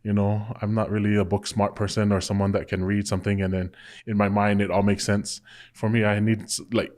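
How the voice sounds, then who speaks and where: A man hosts a casual podcast conversation and reflects aloud, filling his sentences with "you know." His voice sits somewhat low. He talks in an easy, unhurried chat. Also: level tone, darkish tone. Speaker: podcast host.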